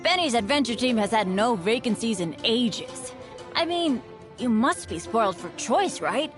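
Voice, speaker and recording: A woman plays an unlucky but upbeat teenage boy adventurer from a fantasy video game. The voice is high and boyish, eager and earnest, bouncing up and down a lot. Light music runs underneath. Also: animated, a little slow. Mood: sheepish. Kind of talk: video game character voice lines. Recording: studio voice over background music.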